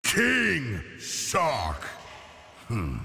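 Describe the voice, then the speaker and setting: A man's voice: wildly swooping pitch, drawn-out words, gravelly, pushed and bright, exaggerated voice. A man playing a scornful, regal sea-creature villain in a video game, speaking in solemn, accusatory oratory through a reverberant effect. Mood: scornful, menacing. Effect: deep reverberant voice effect. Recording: long cavernous reverb.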